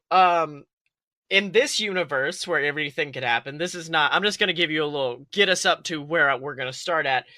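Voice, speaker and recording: A man's voice: high voice, bright, forceful tone, no room sound. A man co-hosting a podcast with casual comedic banter, rambling and exclamatory. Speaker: podcast co-host.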